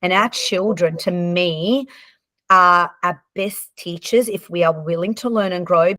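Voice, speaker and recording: A woman's voice: on the low side, measured pace, bright, forceful tone, dry recording. A woman in her forties, born in Lebanon with Arabic and French as first languages, chatting candidly as a podcast guest with frequent ums and restarts. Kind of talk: casual conversational storytelling.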